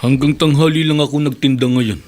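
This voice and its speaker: An actor playing a stern, domineering militant husband in a radio drama, speaking in a firm, commanding way. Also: male voice, medium pitch, fairly even intonation, brisk, darkish tone, close to an everyday voice. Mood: matter-of-fact. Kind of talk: Tagalog radio drama acting.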